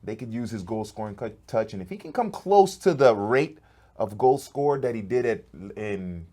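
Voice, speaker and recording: A man's voice: on the low side, animated, normal speed, dark tone. A man from the United States hosting a casual sports talk show, chatty and upbeat.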